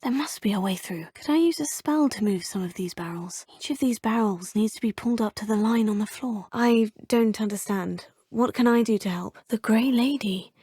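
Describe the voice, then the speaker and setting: A woman's voice: on the high side, moderate pace, few pauses, dark tone, slightly rough, no echo, clean studio sound. A woman voicing a young, polite student witch who thinks aloud in a formal way. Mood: musing. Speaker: player character. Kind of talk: video game voice lines.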